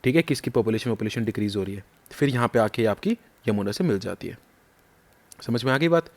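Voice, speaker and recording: A man's voice: fairly low pitch, brisk. A man teaching a map lesson for exam prep. He quizzes the listener and repeats the key names, and his throat is a little sore.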